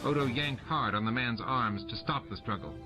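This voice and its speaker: A man's voice: medium pitch, normal speed. A man reading a science fiction novel as scripted audiobook narration. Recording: music and effects under the voice. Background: music and sound effects.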